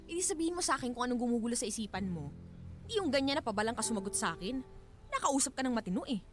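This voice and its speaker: An actress playing a distressed, exasperated wife in a Filipino radio drama, with pleading emotional delivery. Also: female voice, fairly high pitch, expressive, very fast, music in the background, close to an everyday voice. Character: Thelma, distressed wife confronting her angry husband.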